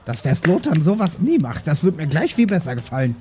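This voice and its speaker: A man's voice: a little high, sing-song, fairly quick, dark tone. A man playing a dry, grumbling spectator with an understated manner in an improvised audio play, recorded with background noise. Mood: dry, sardonic.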